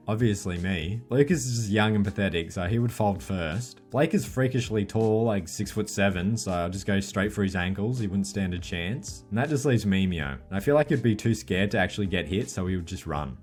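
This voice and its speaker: A young man answers viewer questions in a casual, dry, deadpan joking way. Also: sits low. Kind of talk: casual Q&A monologue.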